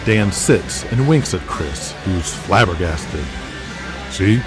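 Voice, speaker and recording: A man's voice: deep voice, animated, slow. A man reading scripted dramatic narration in the present tense, setting scenes like a storyteller. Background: music under the voice.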